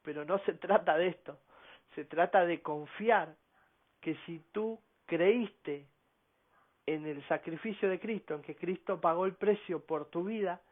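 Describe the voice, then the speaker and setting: A man giving a slow, earnest radio sermon as preacher, often tagging his points with a questioning "no?". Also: sits high. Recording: phone-like narrow band.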